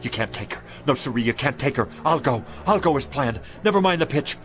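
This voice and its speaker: A man's voice: mid-range voice, expressive, brisk, fairly bright tone, close to an everyday voice. An actor playing a kindly older male street peddler in a radio drama, folksy, earnest and stubborn. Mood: frantic pleading.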